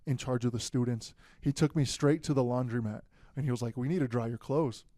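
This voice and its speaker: A young man in a casual podcast conversation, with a mellow, dark voice. He tells his stories in a relaxed way, full of fillers. The recording is close and dry, with no room sound. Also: medium pitch, fairly quick, no room sound. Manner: relaxed storytelling, many fillers. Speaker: guest, future pastor.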